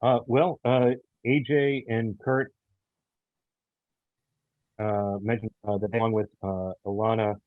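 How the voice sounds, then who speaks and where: A man answering interview questions as a writer, explaining himself in a halting way with many pauses. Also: fairly low pitch, fairly even intonation, no echo, narrow, tinny audio. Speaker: audio theater writer and creator.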